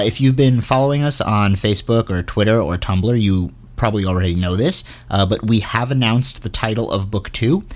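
A man hosting a podcast, giving announcements in a chatty, informal, earnest way. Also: fairly low pitch. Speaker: podcast host and show creator.

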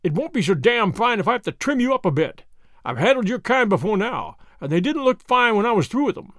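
A man voicing a hulking, menacing bruiser who blusters in rough, ungrammatical slang. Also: sits high, big pitch swings, a little fast, no room sound, clean studio sound. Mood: threatening.

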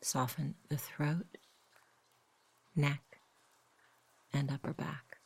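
A woman narrates a guided sleep meditation. Her voice is low for a woman and breathy, often slipping into a whisper. She delivers each phrase softly and gently, taking her time. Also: fairly even intonation. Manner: soft, gentle, unhurried.

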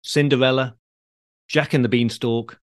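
British male podcast host, mid-pitched, chatty explainer, recorded dry. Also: fairly even intonation, a little slow, no room sound. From Britain. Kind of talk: conversational explaining of theatre.

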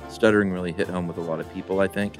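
A middle-aged man co-hosting a podcast, reading listener mail in a rambling, relaxed way with lots of fillers. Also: low voice, rapid-fire, faint music behind. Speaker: podcast co-host.

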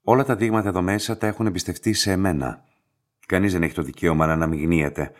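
An actor playing a cold, controlling, enigmatic laboratory master in a radio play, terse and detached. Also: male voice, sits low, steady tone, natural voice. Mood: guarded, authoritative.

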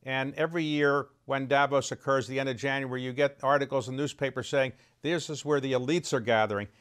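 Man interviewer with measured questions. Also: mid-range voice, steady tone, a bit bright, clean tone.

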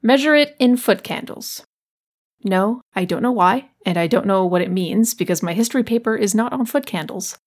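A woman's voice: medium pitch, expressive, normal speed, lightly acted. A woman playing a sarcastic, rambling teenage sound editor in a comedic audio drama. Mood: dry, annoyed. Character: teenage sound editor hosting a show, sardonic, self-doubting rambler.

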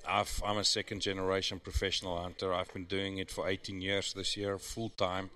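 A man's voice: sits low, monotone, a little fast, bright, forceful tone. A man who is a professional hunter and is not a native English speaker, talking earnestly and persuasively in an interview with frequent restarts. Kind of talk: casual interview talk.

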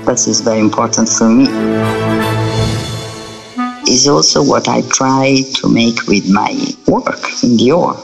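Gravelly man speaking haltingly over music. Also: mid-range voice. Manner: slow, halting, with fillers. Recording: music bed under voice.

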